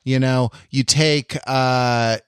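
A man co-hosting a podcast, chatting jokingly with halting, wry delivery as he spitballs. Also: medium pitch, steady tone, clear, resonant tone, dry recording, clean studio sound. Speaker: podcast host. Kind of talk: casual joking chat.

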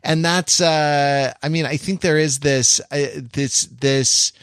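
A man co-hosting a casual podcast, talking in a halting, repetitive way with wry, bookish asides. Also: fairly high pitch, steady tone, fairly bright tone, clean tone, dry recording, studio quality. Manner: halting, circles back and repeats himself. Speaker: podcast co-host.

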